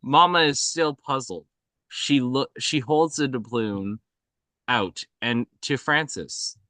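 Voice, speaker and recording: Male narrator, sharp bright tone, slow delivery, scripted scene directions, table read. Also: medium pitch, dry recording. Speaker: narrator reading stage directions.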